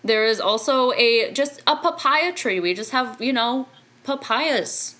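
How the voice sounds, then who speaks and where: A woman's voice: fairly high pitch, animated, a little slow, pushed and bright. A woman chatting casually as a player in a tabletop roleplaying game.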